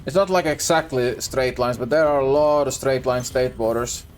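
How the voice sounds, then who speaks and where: A man's voice: medium pitch, moderate pace, soft background music. A young man with a Finnish accent, whose first language is Swedish, chatting casually and hesitantly on a gaming stream with lots of restarts. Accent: Finland Swedish. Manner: rambling, hesitant, frequent restarts and laughs. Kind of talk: casual gaming livestream chat.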